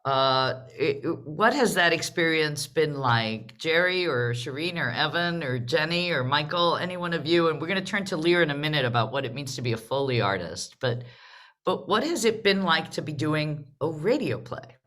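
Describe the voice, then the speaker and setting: A woman's voice: deep voice, animated, normal speed, fairly bright tone. A woman hosting a live panel discussion, speaking in a casual, conversational style. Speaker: panel host of a theater livestream.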